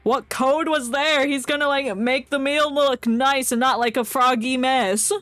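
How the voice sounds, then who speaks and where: A woman's voice: sits high, fairly even intonation, measured pace, fairly bright tone. A woman streamer giving casual live commentary on a game, joking and heckling sarcastically. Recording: game music behind the voice.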